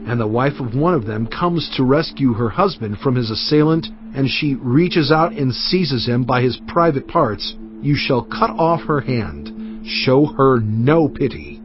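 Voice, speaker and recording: Male Bible narrator, solemn and emphatic, animated mid-range voice, slightly dull recording with music behind. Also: medium pitch. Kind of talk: dramatized scripture reading. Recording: dull audio with music bed.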